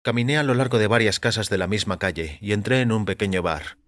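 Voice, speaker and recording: A man reading a first-person short story as a narrator, in a measured, deliberate way. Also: lowish voice, a bit bright. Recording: music under the voice. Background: background music.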